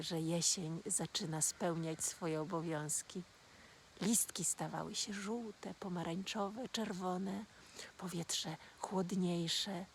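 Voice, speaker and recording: A woman gently telling a children's fairy tale as a storyteller. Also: on the low side, a little hiss or hum.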